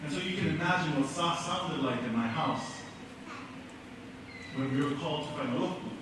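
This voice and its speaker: A middle-aged man from American Samoa giving a measured, earnest speech at an awards ceremony, telling his story with pauses. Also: on the high side, noisy background. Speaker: keynote speaker. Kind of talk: prepared speech. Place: school auditorium. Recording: echoey hall from far off.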